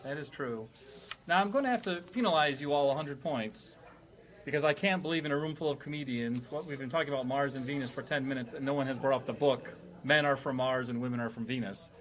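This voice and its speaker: A man's voice: medium pitch, fast, a bit bright. A man hosting a comedy panel quiz with playful, mock-formal announcements and banter. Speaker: quiz host.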